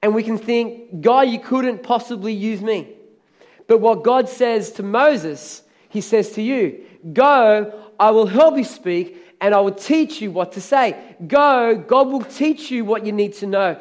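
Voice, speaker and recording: A middle-aged pastor with a hoarse, crackly voice, preaching a sermon with energetic, emphatic repetition. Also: male voice, high-pitched, measured pace.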